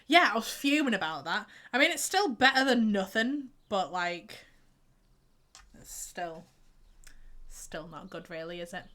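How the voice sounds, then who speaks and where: A woman's voice: medium pitch, expressive, a little slow, sharp tone. A young woman with a light Yorkshire accent, chatting and sighing playfully while streaming a game. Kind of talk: casual gameplay commentary.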